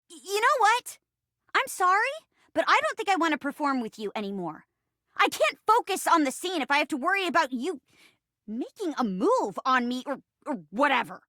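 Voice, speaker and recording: A high-voiced young actress chair come to life for a video game. She is earnest and casual, with a very animated, swooping delivery. The sound is close and dry. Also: no echo, exaggerated voice. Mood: indignant, flustered. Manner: animated, earnest, casual, halting when uncomfortable. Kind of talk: video game character dialogue.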